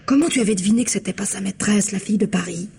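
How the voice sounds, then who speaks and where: A woman's voice: mid-range voice, racing, creaky, warm tone, breathy edge. An actress playing a policeman's nagging, inquisitive wife in a radio mystery, with a homely, colloquial way of talking. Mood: reproachful. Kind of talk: old French radio mystery acting.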